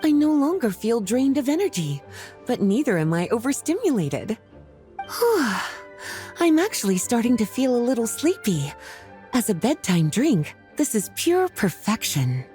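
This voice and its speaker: A woman's voice: a little high, sing-song, measured pace, dark tone, faint music behind. Lilting, teasing lady of leisure. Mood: pleased, savoring. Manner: teasing, flirtatious, articulate, savoring her words. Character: worldly, playful, teasing woman of leisure, affectionate and self-assured. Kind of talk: scripted video game dialogue.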